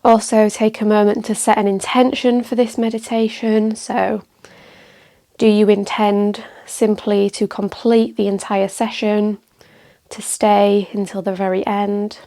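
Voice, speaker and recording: A woman leads a guided meditation at a slow, unhurried pace. Her voice sits in a middle register with a noticeable creak at the edges. She instructs gently and calmly, leaving space between phrases. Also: medium pitch. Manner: calm, gentle, measured with pauses. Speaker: meditation teacher.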